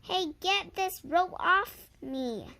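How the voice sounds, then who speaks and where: A woman's voice: very high-pitched, animated, takes it slowly, sharp tone, pitched above the performer's own voice, exaggerated voice. A young girl reading the part of a feisty, boastful cowgirl in a tall tale, with folksy, defiant Western talk.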